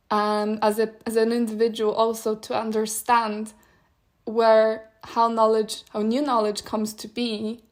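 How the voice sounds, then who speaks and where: A young woman whose native language is Polish talks through her thoughts on a solo podcast. Her voice sits a little high but stays fairly level in tone, and she muses in a meandering way, with soft laughs between ideas. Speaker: podcast host. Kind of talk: solo reflective podcast monologue.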